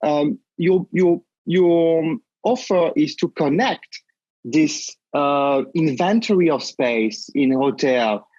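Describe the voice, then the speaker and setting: A man's voice: fairly high pitch, slow pace, a little mellow, no echo. A man hosting a business podcast, asking long questions with many fillers and restarts. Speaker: podcast host. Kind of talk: interview questions. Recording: dull dry lo-fi sound.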